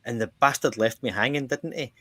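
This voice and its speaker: A man's voice: mid-range voice, a little fast, a bit bright. A middle-aged man with a Scottish accent, chatting casually as a podcast host and telling rambling stories that he keeps interrupting himself to restart. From Ireland. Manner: rambling anecdotes with frequent self-interruptions and laughter. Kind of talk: casual chat.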